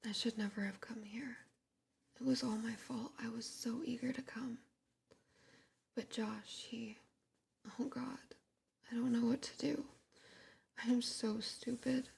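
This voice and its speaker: Whispered woman reading as a tearful young influencer, breathy, gravelly edges, pleading. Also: fairly high pitch, steady tone, measured pace, pauses often, darkish tone, exaggerated voice. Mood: frightened.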